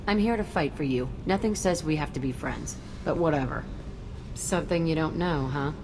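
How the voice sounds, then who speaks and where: A woman's voice: sits low, normal speed, noisy background. An actress playing a tough, cynical young female ex-convict in a video game, curt, abrasive and sardonic. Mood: guarded, defiant. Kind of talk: video game character acting.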